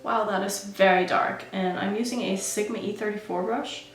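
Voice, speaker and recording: A woman's voice: medium pitch, steady tone, normal speed, echoey room, faint music behind. A woman who grew up in Norway, chatting casually while she does her makeup for a beauty vlog. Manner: chatty, self-narrating while applying makeup. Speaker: beauty vlogger.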